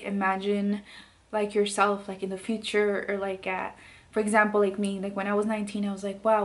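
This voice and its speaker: A woman in her late twenties talking candidly to camera in her bedroom, soft and hesitant with many fillers. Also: medium pitch. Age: late 20s. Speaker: beauty vlogger. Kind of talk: candid personal vlog. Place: bedroom, indoors.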